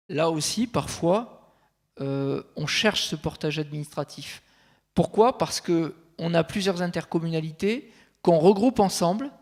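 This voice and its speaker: A man speaking as a consultant on a conference panel, measured and thinking aloud, with frequent fillers and restarts. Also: fairly high pitch, a little mellow.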